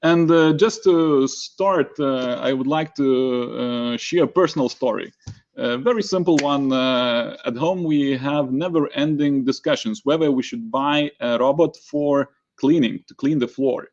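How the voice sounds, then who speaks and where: A man's voice: medium pitch, unhurried, darkish tone. Male moderator, mid-range voice, hesitant informal delivery, slightly lo-fi online sound. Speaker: panel moderator.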